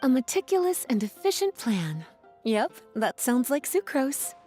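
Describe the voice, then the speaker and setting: A woman's voice: high-pitched, very animated, unhurried, mellow, soft background music. An actress playing a languid, teasing, nurturing mentor woman in a video game, with a coy drawl. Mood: amused. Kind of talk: video game cutscene acting.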